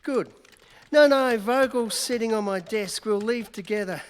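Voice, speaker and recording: This is a cheerful young newspaper reporter, played live by a stage performer, teasing and fond as he chats on the phone. He talks slowly and easily. The voice rings out through a large concert hall with a long reverb. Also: mid-range voice, close to an everyday voice. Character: eager young male newspaper reporter, sentimental and joking. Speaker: amateur radio play actor. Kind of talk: live staged radio play reading.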